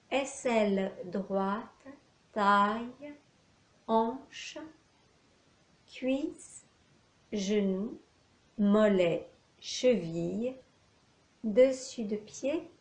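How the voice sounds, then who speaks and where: A woman leading a guided yoga nidra relaxation as an instructor, speaking in short instructional phrases with long pauses. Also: fairly high pitch, darkish tone. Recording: echoey room, faint background noise.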